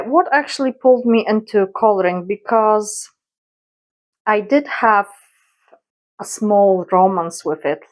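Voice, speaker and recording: A young adult woman from Poland with a Polish accent, hesitantly introducing herself in a casual vlog with many fillers. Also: fairly high pitch. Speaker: YouTuber.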